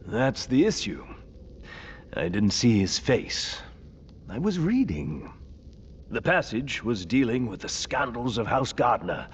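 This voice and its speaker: A man's voice: mid-range voice, big pitch swings, takes it slowly. An actor playing a prickly, pedantic maester in a video game, haughty and defensive in his speech. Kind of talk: video game cutscene acting. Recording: dull audio with faint ambient noise.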